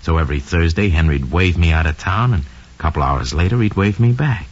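An actor playing a smug, scheming young murderer who confesses coldly and boastfully in an old radio drama monologue. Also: male voice, sits low, brisk, breathy edge. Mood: smug, calculating. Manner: sly, cold, boastful, slangy. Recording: dull lo-fi old radio recording.

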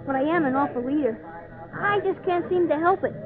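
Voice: sits high, brisk. A performer playing an eager, naive country orphan boy with plain folksy talk, in old radio-drama style. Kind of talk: 1940s-50s radio drama acting. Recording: tinny old radio, crowd behind.

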